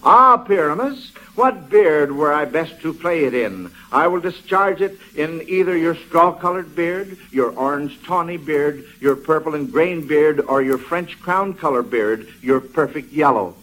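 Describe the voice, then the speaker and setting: A man's voice: a little high, expressive, a little slow, few pauses, pushed and bright, low-quality recording. An American man hosting a 1940s radio quiz show for children in a folksy, avuncular manner. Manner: folksy, avuncular, addresses children as kids and son.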